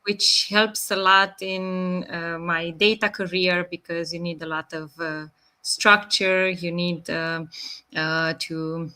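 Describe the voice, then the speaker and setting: A woman in her thirties with a Romanian accent, answering interview questions in a measured way with many pauses and fillers. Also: on the low side, steady tone, pushed and bright, clear, resonant tone. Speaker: guest. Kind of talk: interview answers, online live session.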